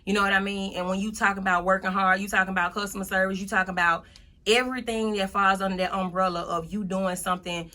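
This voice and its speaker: A woman's voice: mid-range voice, little pitch movement, quick pace, pushed and bright. A woman of thirty-one, speaking as a motivational guest in a casual interview, emphatically repeating her points.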